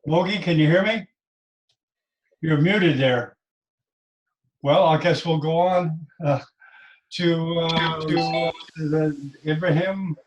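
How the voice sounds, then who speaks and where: Higher-pitched man hosting a noisy online meeting. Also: steady tone, muffled recording. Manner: halting, calls on people by name. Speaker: host and moderator. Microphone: video call. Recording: noisy video call with clicks.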